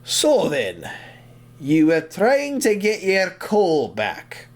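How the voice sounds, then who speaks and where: An actor playing a stern, exasperated railway boss who scolds and blusters in an audio drama. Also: male voice, high voice, huge pitch swings, extremely slow, exaggerated voice. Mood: doubtful.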